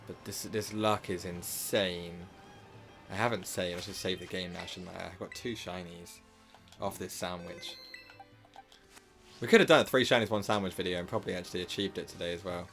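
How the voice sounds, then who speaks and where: Hoarse low-voiced man streaming. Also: steady tone, normal speed, fairly bright tone, faint music behind. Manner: rambling, self-correcting, lots of filler words. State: sore throat, slightly losing voice. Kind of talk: casual live gameplay commentary.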